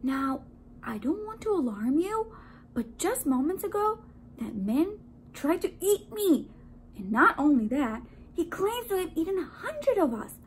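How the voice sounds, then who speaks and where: A woman voicing an excitable, indignant little male donut in a children's storybook, with dramatic exclamations. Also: extremely high pitch, measured pace, a little hiss or hum, voice pushed up, full character voice. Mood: frantic, urgent. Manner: dramatic, exclaiming, sometimes frantically whispering.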